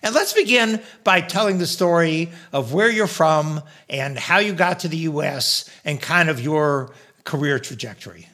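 A man's voice: on the high side, expressive, moderate pace, hardly any pauses, sharp tone. An older man hosting a podcast, introducing his guest and drawing lessons from her story in a professorial way. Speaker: podcast host. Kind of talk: podcast interview.

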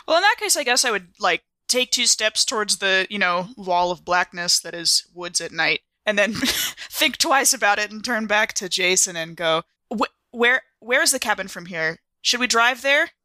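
A woman's voice: mid-range voice, expressive, normal speed, pushed and bright, dry recording. A woman playing a tabletop roleplaying game, thinking aloud in casual, hesitant chat.

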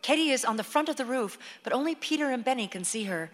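A woman's voice: high-pitched, expressive, fast talker. A woman acting as the matter-of-fact narrator who reads stage directions in a radio play. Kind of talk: scripted narration. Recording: long hall reverb.

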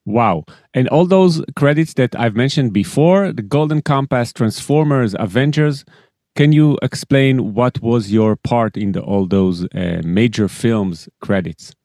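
A man's voice: medium pitch, a little slow, dark tone, dry recording, clean studio sound. A man hosting a music career podcast, introducing and questioning his guest in an upbeat, eager way. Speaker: podcast host. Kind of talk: podcast interview.

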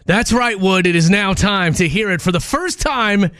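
A man with an American accent and a fairly high voice for a male host. His pitch swings freely as he teases and jokes in casual morning-show banter, recorded close and dry in a studio. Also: expressive, moderate pace, fairly bright tone, no echo. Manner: teasing, playful. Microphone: close studio microphone.

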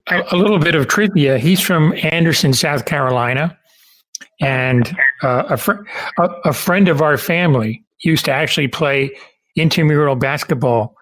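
A man hosting an interview in a casual, conversational way, somewhat halting as he forms his questions. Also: on the high side, fairly bright tone, low-quality recording. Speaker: podcast host interviewer.

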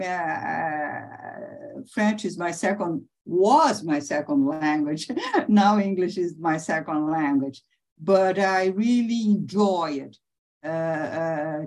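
Slow, halting middle-aged woman professor. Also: on the low side, a little mellow. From Brazil. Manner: halting, many restarts and fillers, laughs often. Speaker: interviewee, professor. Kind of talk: conversational interview.

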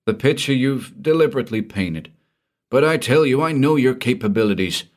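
A man's voice: mid-range voice, lively intonation, moderate pace, pitched above the performer's own voice, close to an everyday voice. A man voicing a panicked, wealthy middle-aged man who pleads hysterically for help. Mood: pleading.

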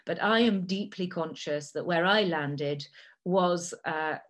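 A middle-aged woman raised in Italy, a native Italian speaker, giving a measured talk with frequent fillers. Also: fairly low pitch. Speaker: guest speaker. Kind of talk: prepared talk.

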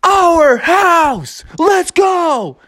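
A man's voice: very high-pitched, big pitch swings, very slow, sharp tone, voice pushed up, heavily acted. A man voicing a football team cheering and chanting together. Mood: fired up. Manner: rallying, chanting.

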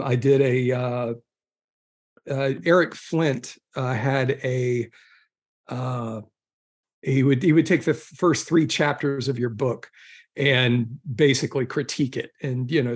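Male guest author, southern California native, mid-range voice, informal storyteller with a halting, self-interrupting delivery. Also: mid-range voice, darkish tone, slightly rough. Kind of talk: casual conversational storytelling.

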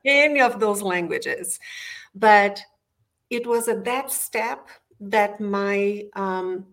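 A woman's voice: medium pitch, slow pace, fairly bright tone. A middle-aged woman from Brazil with a Brazilian Portuguese accent, a guest in an interview telling her career story with enthusiasm from her home office. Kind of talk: interview conversation.

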